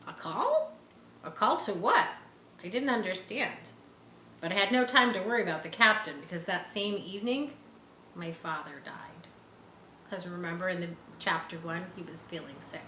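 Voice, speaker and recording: A woman's voice: lowish voice, moderate pace, sharp tone. A woman in her forties reading a children's book aloud, dramatically and with explanatory asides for young listeners. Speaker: storyteller. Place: bright room by large windows. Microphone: camera a short distance away. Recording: roomy, some background noise.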